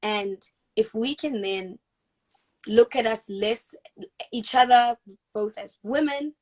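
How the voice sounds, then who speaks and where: A woman guest with a fairly high voice speaks earnestly over a video call. She is from Africa and works as an actress, and she talks in a reflective way, restarting her sentences as she thinks. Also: takes it slowly, a little mellow. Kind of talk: casual video chat interview.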